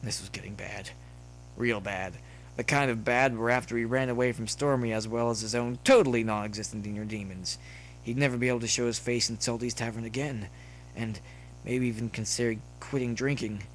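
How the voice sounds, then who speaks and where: A man narrates a story in a medium-pitched voice. His reading is scripted and expressive. There is some faint noise and hum on the recording. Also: fairly quick, rough recording. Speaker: narrator reading fan fiction.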